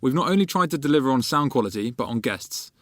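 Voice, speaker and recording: A man's voice: medium pitch, animated, quick pace, no echo, studio quality. Male co-host reading announcements. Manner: reads announcements evenly. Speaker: podcast co-host. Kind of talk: scripted announcement. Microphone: studio mic.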